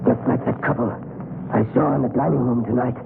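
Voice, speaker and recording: An actor playing a tormented, pleading captive man in a 1930s radio drama, agitated and halting. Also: medium pitch, fairly even intonation, gravelly. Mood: bitter, anguished. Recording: scratchy, noisy, narrowband 1930s radio recording.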